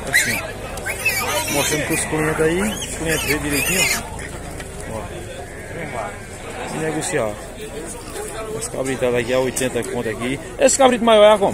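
Man shouting prices at a busy animal market. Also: mid-range voice. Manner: calls out prices loudly to viewers. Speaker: vlogger touring an animal market. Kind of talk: walking vlog commentary. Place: outdoor livestock market. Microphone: handheld phone camera. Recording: loud crowd and bleating animals behind.

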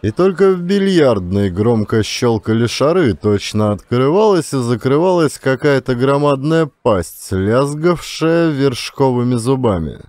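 A man narrating classic literary fiction as an expressive audiobook storyteller. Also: mid-range voice, measured pace, hardly any pauses, no room sound.

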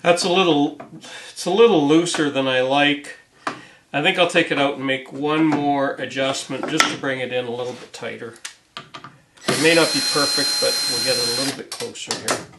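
A man's voice: medium pitch, fairly even intonation, moderate pace. A middle-aged man giving informal hands-on woodworking commentary, thinking aloud in a hesitant way as he works. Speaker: woodworking video host. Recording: roomy workshop sound, light background noise.

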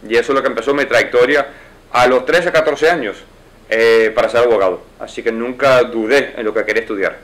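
A man in his forties answers interview questions from behind his office desk. He speaks in a middle-pitched voice, fluently and in an explanatory way, with quick asides. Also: a bit bright. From United States. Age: 40s. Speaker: lawyer and judicial candidate. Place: wood-paneled office, indoors.

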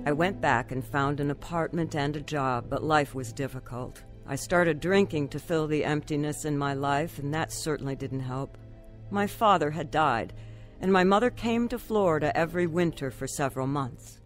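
A woman's voice: sits low, moderate pace, faint music behind, natural voice. An actress playing a troubled, despondent mother in her thirties, narrating and acting in an earnest radio drama style. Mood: somber, reflective.

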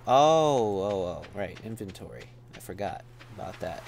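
Laid-back rambling man, lively voice. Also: lowish voice, moderate pace, gravelly, a little hiss or hum. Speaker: gameplay streamer. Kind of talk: casual let's-play commentary.

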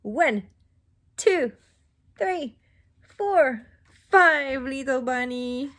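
A middle-aged woman reading a picture book aloud to young children in an exaggerated, interactive way, with questions and pauses. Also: sits high, expressive, a bit bright. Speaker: children's storybook reader. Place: small indoor room at home.

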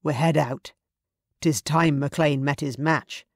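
A narrator voicing a determined Highland clan chief, firm and urgent in his orders. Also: fairly even intonation, slow, no echo, studio quality.

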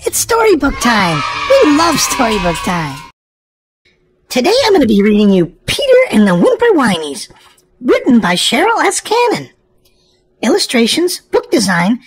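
A woman's voice: sits high, huge pitch swings, measured pace, soft background music. A woman hosting a children's show and reading a storybook aloud in an exclamatory way, as if to young children. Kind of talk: animated children's read-aloud narration.

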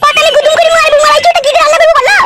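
A woman voicing a sharp-tongued, sassy, nagging village wife in a comic cartoon. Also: extremely high pitch, little pitch movement, very fast, heavy background noise. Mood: nagging, mocking. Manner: bickering, taunting, sassy.

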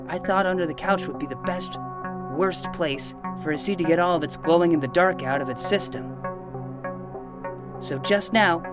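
A woman's voice: deep voice, close to an everyday voice. Woman voicing an earnest young boy detective over music. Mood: hard-boiled, scheming. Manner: dramatic hard-boiled private-eye delivery. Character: eager, self-important twelve-year-old boy playing detective. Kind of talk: fiction podcast acting and narration.

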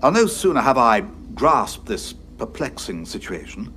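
An actor playing a brisk, loyal British military brigadier in an audio drama, with clipped, old-fashioned officer's bluster and a British accent. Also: male voice, mid-range voice, big pitch swings, a bit bright, slight background noise. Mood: resolute. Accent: British English.

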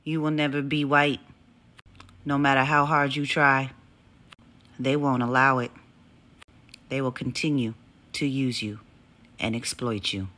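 A woman's voice: rumbling low, steady tone, a little slow, pauses often, fairly bright tone. A woman delivering an impassioned, profane monologue to camera, emphatic and scolding.

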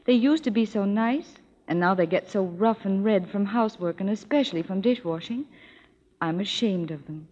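An actress playing an anxious young housewife in a 1930s radio commercial, speaking haltingly and self-consciously. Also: female voice, mid-range voice, darkish tone, close to an everyday voice. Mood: worried. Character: anxious young housewife worried about her hands. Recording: dull old radio broadcast.

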